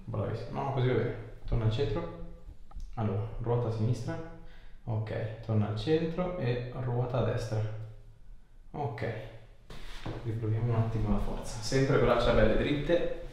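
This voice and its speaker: A man's voice: medium pitch, measured pace, long pauses, a little mellow. A man, a chiropractor talking a patient through treatment with short, casual instructions and encouragement. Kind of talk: casual hands-on patient consultation. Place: small treatment room, indoors. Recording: very echoey small room, faint noise.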